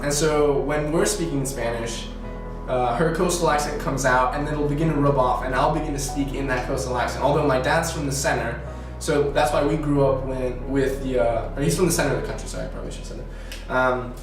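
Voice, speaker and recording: A man's voice: mid-range voice, steady tone, a little fast. Young man talking in an echoey room. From Colombia. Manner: rambling, self-correcting, many fillers. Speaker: student interviewee. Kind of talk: casual interview answers. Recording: echoey room from far off, music behind.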